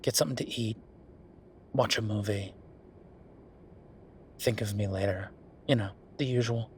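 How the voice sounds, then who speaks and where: A man playing a weary, wry younger werewolf boyfriend working late, speaking haltingly in an intimate roleplay audio drama. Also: a little mellow, slight background noise, natural voice. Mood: reassuring, resigned. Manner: low-key, sardonic, halting, sighing.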